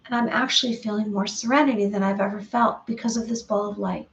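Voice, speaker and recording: A woman's voice: mid-range voice, fairly even intonation, recorded from a distance, lo-fi sound, a little hiss or hum. Woman meditation guide, soothing repetitive delivery, slightly off-mic. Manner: slow, repetitive, soothing guidance with pauses.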